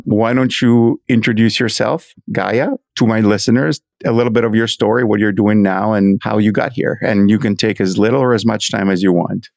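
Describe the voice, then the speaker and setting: Guy hosting a podcast, measured and deliberate, fry in the voice. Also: on the low side, no echo. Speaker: podcast host. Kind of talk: scripted podcast intro and interview.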